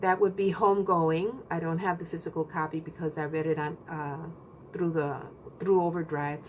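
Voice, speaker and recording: A woman hosting a book channel, chatting casually with many pauses and fillers. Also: low-pitched, fairly even intonation, a little hiss or hum. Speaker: BookTube channel host. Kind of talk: casual book tag vlog.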